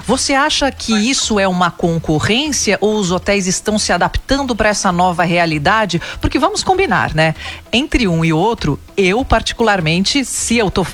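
A woman's voice: medium pitch, moderate pace, faint music behind. Chatty Brazilian woman interviewing a guest. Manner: chatty, expressive, informal. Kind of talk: casual interview chat.

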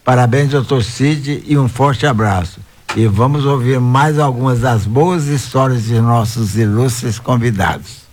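A man's voice: mid-range voice, unhurried, darkish tone. A man hosting a radio talk show, greeting listeners and questioning guests with hesitant fillers.

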